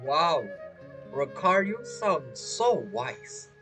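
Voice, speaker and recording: A joking woman doing casual game commentary. Her voice sits a little low but swings expressively, with soft music in the background. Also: sing-song, very slow. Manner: playful, joking. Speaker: gamer reacting to a story quest.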